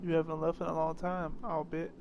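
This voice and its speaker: Gravelly, fairly high-voiced man gaming. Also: little pitch movement, fairly quick, darkish tone, slight background noise. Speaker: gaming video creator playing along. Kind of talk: casual gameplay reading and commentary.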